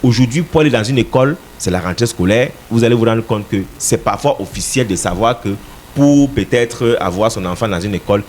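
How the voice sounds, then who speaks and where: A man's voice: on the low side, expressive, fairly quick, a little mellow, a little hiss or hum. A man from Cameroon, a political party representative answering radio interview questions in an emphatic, insistent way, sometimes repeating words as he searches for them.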